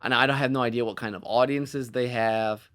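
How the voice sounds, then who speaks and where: A man's voice: mid-range voice, fairly even intonation, a little fast, a bit bright. A man co-hosting a podcast as an author, chatting casually in a rambling, self-deprecating way.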